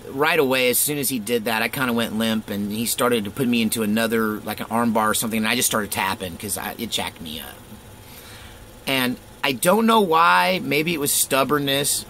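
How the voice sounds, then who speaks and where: A middle-aged man casually telling a rambling personal story, animated and full of fillers and chuckles. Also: lowish voice, slight background noise.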